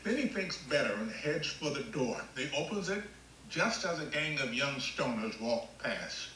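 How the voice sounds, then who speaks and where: A man's voice: medium pitch, lively intonation, moderate pace, sharp tone, echoey room, a little hiss or hum, rough recording. A man reading stage directions as scripted screenplay narration at a table read.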